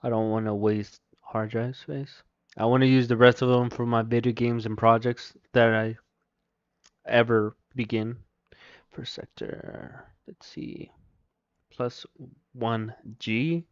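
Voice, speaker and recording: Slow-talking man streaming with a Mexican accent. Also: mid-range voice, little pitch movement, smooth, no room sound, lo-fi sound. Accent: Mexican Spanish. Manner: thinks aloud, mutters to himself, reads chat aloud. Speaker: livestreamer. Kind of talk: casual live commentary while installing software.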